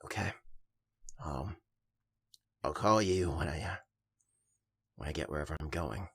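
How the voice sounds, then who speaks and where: An actor playing a troubled young friend from the past, husky and hesitant, in a naturalistic audio drama. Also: a bass voice, measured pace, full character voice. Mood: dejected. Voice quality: husky, gravelly. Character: troubled, pleading street-kid friend from the past.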